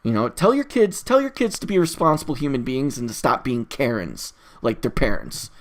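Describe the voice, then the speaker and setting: Animated, rambling solo audio-log host. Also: mid-range voice, normal speed. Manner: rambling, easily distracted, opinionated; exasperated, emphatic, swears freely.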